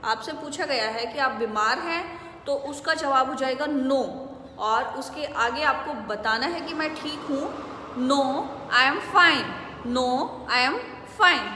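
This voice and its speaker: Bright, slow-spoken Indian woman teacher. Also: sits high, slight background noise. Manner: slow, drawn-out model phrases in English. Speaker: English teacher. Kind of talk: spoken English lesson in Hindi. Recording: echoey room with long reverb.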